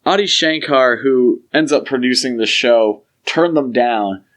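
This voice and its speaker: A man's voice: medium pitch, a little slow, a bit bright. A man co-hosting a casual podcast discussion, explaining things in an opinionated way. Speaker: podcast co-host.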